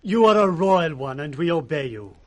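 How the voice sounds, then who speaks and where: A British man playing a dutiful, courteous gentleman attendant in a radio Shakespeare drama, formal and earnest. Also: a little high, animated, moderate pace, lightly acted. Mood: urgent, respectful. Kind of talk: 1960s radio Shakespeare acting.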